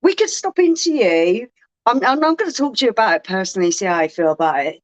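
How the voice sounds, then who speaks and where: A woman chatting casually on a home livestream, quick and laughing often. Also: mid-range voice, lively intonation. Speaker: co-host of a beer livestream. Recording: dull lo-fi livestream audio.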